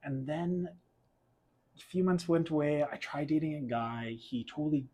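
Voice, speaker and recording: A man's voice: fairly high pitch, moderate pace, mellow, breathy edge. A young adult man from Portugal, raised in western Canada, candidly telling his life story as a guest in a casual chat and laughing often. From Portugal, raised in Alberta, Canada.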